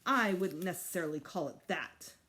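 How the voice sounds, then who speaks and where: A woman's voice: fairly low pitch, lively intonation, normal speed, sharp tone. Prim, exasperated storybook schoolmistress, acted by a woman reader, emphatic and formal, swooping intonation. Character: exasperated, prim female kindergarten teacher.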